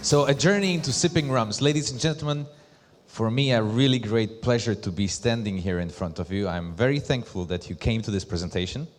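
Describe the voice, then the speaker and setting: A man from Slovakia with a Slovak accent, giving a conference presentation as a brand ambassador in deliberate, emphatic short phrases. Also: mid-range voice, soft background music. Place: large hall at a live event. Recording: echoey hall with long reverb.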